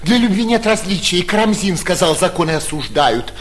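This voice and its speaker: An actor playing a flighty young gentleman impostor, wooing in florid, pleading stage-comedy style in an old radio play recording. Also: sits high, very animated, brisk, slight background noise. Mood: ardent. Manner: ardent, florid, pleading declarations. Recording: vintage 1940s radio theatre recording.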